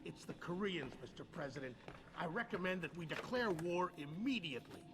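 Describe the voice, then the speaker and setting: An actor playing a hawkish, blunt military adviser with a forceful way of talking. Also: male voice, fairly high pitch, lively intonation, brisk, background noise. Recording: game cutscene with music underneath.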